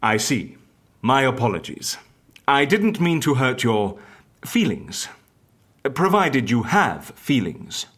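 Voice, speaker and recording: A genial, bumbling interviewer in an audio comedy drama. His husky, mid-pitched voice swings expressively as he muses politely and hesitates over his words. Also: very animated, takes it slowly, a bit bright, exaggerated voice. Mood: curious, apologetic. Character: genial, bumbling male agency interviewer, wryly curious.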